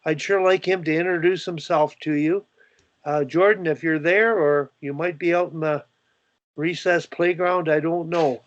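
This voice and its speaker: A man's voice: fairly high pitch, steady tone, moderate pace, no echo. An older man with a Canadian accent, hosting a coaching discussion on a video call and talking in a rambling way, full of fillers and restarts. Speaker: host. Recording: dull, dry video call audio.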